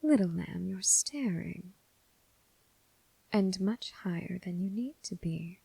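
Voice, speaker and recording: A woman playing a commanding, teasing, coolly amused mob boss in an ASMR roleplay, husky and breathy, speaking with deliberate, condescending pauses. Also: expressive, warm tone, no echo, heavily acted, poor audio. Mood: teasing, seductive. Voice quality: husky, breathy, low, creaky. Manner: slow, deliberate, purring condescension with drawn-out pauses. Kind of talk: ASMR roleplay, scripted character acting.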